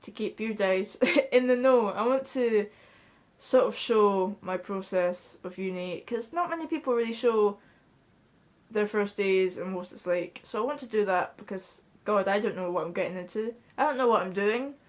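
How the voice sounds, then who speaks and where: A woman's voice: medium pitch, normal speed. Chatty young Scottish woman vlogging. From Scotland. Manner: chatty, blunt, swears freely. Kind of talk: casual chat to camera. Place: small bedroom indoors. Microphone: camera in front at close range.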